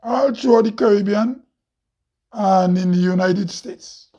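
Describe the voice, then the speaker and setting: A man from Grenada with a Grenadian accent and a loud, heavy voice, hosting an impassioned monologue full of rhetorical questions. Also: high voice, unhurried. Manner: preachy, rhetorical questions, laughs often. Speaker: host. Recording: dull lo-fi recording.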